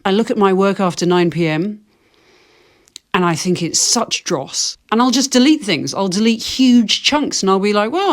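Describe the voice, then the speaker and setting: A woman presenting a wry video essay to camera, with dry, self-deprecating humour. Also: medium pitch. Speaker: video host, fiction writer.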